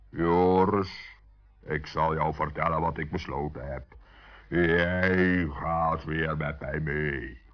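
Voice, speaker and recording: A man playing a calm, kindly but commanding giant in a children's radio play, speaking in a measured, authoritative way. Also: rumbling low, full character voice. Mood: calm, firm. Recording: dull, muffled old radio recording.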